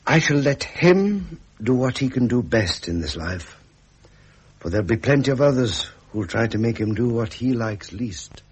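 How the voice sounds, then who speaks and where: An actor playing a frustrated young British prince in a radio drama, with an upper-class English accent and a declamatory manner. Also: male voice, mid-range voice, lively intonation, moderate pace, breathy edge. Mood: solemn.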